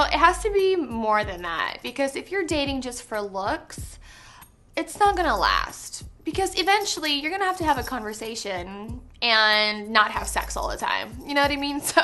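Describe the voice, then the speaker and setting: A young woman from Canada answering interview questions on set in a chatty, giggly way with lots of pauses and ums. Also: medium pitch, bright, forceful tone.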